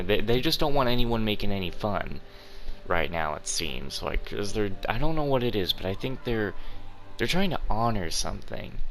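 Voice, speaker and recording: Lowish-voiced man doing casual game commentary. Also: a bit bright, slight background noise. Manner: rambling, halting. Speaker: gaming video host.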